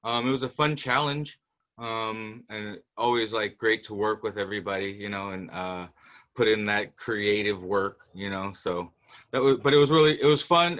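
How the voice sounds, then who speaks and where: A man's voice: fairly low pitch, sharp tone. A man, an actor, giving a casual, loosely strung answer on a panel over a dull video-call line.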